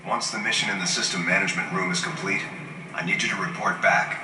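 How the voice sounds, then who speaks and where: Stern military commander guy, deep and measured, video game briefing, distant from the mic. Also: steady tone. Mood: formal, commanding. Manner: authoritative, formal, measured. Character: stern male military commanding officer giving mission orders. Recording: echoey game audio with loud music.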